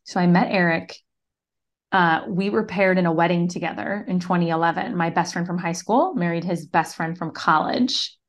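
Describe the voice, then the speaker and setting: A woman's voice: on the low side, moderate pace. A woman from the US East Coast, a guest on a podcast, telling her story casually with lots of "like". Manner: casual storytelling, many "like" fillers. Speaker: podcast guest, business mentor. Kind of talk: conversational interview.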